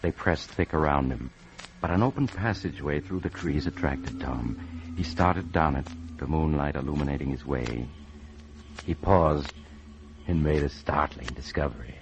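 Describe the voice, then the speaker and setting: Very deep, sly horror storyteller. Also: male voice, moderate pace, background noise. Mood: suspenseful. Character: mysterious male storyteller host, sly and macabre. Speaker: narrator and host. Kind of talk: old-time radio scripted narration. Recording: dull vintage radio, music behind.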